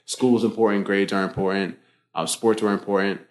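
A young man with a low voice talks quickly and easily as an interview guest. He rambles comfortably, with plenty of "like" and "you know" and the odd restart. It is relaxed, everyday conversation. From United States (born Boston, raised Florida). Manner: relaxed, talkative, many fillers and self-restarts. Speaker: guest, fitness coach.

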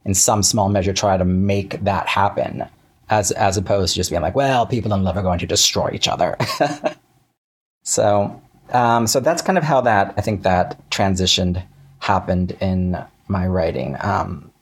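A man being interviewed as a writer, chatting casually in a wry, halting way with self-interruptions and acted-out asides. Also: sits low, breathy edge.

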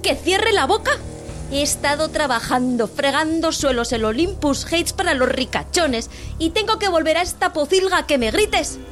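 An actress playing a weary, sharp-tongued working-class wife, reproachful and sarcastic, in an audio drama. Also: female voice, high voice, animated, moderate pace, soft background music. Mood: indignant, bitter.

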